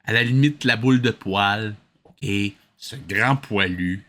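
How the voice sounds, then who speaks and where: A man's voice: fairly low pitch, takes it slowly, bright, forceful tone. A man with a Québécois French accent giving casual, sarcastic, exasperated commentary as host of a reaction show.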